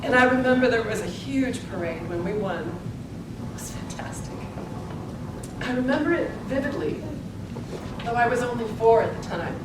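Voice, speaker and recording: An earnest, principled old suffragist of eighty-six played by a woman on stage, speaking deliberately in a slightly high voice. The theatrical delivery is heard from a distance in a reverberant room, with clear noise behind it. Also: animated, natural voice. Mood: reminiscing, grateful. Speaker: actor in a theatre presentation. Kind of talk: theatrical interview and monologue, live stage performance. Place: indoor presentation space at a public library. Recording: echoey, distant, noisy library event recording.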